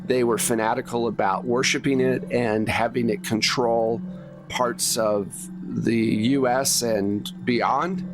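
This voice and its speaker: Slow-paced man narrating over music. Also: mid-range voice, fairly even intonation. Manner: pausing, piecing out sentences in short phrases. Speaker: game master of a tabletop actual play. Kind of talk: improvised narration of a tabletop horror game. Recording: music playing under the voice.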